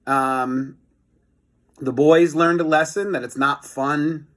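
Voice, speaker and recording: A man reading a children's book aloud in an expressive storytelling style, with chatty, casual asides. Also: a little high, slow. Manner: expressive, chatty commentary between passages.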